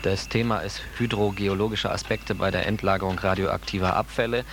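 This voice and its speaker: A man's voice: low voice, steady tone, a little fast. A man talking through a task in an explanatory conversation, matter-of-fact in manner. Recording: clearly noisy room ambience.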